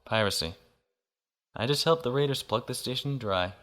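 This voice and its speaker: An actor playing a composed, principled naval officer in an audio drama. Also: male voice, medium pitch, a bit bright, lightly acted. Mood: calm. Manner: measured, composed.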